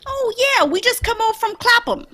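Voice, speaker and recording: Woman playing a high-voiced, confident male detective. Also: moderate pace, crowd noise. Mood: chummy. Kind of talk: old-time radio drama script read live.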